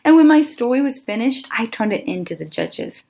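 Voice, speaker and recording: A woman reads a children's story aloud in a lively, fairly high voice. Her pitch moves around a great deal, and she phrases deliberately, pausing for dramatic effect as a storyteller does. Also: vocal fry. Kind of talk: expressive children's picture book read-aloud.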